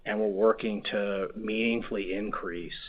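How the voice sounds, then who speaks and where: A very deep, flat-voiced man speaks with a husky edge, halting and repeating words, leaning on "you know". He is a company executive giving remarks on an earnings call. The sound is muffled and dull. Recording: muffled conference call audio.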